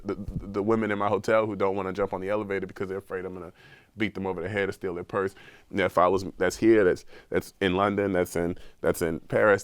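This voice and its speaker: A man speaks in a very low voice, stuffy from a cold, and keeps circling back over his words as he builds an argument. The sound is dry and close, with no room around him. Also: no echo. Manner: earnest, repeats words while searching for them. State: has a cold, sniffling. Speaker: guest researcher. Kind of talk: podcast conversation.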